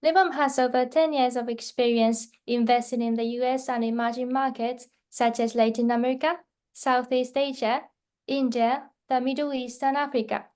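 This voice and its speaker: Clear, higher-voiced woman interviewer. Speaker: interviewer, webinar host. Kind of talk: polite interview questions and introduction. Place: webinar video call.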